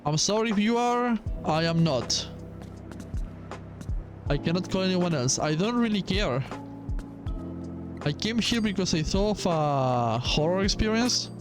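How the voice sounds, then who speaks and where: Male gamer, high voice, offhand and halting playthrough commentary, bored tone, music underneath. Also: expressive. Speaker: gaming video host. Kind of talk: casual game playthrough commentary, reading dialogue aloud. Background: music behind the voice.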